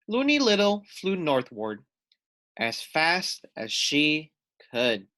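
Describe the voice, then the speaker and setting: Expressive man reading to children, lively pitch. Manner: expressive, deliberate storytelling. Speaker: storybook reader, teacher-like. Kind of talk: read-aloud for children.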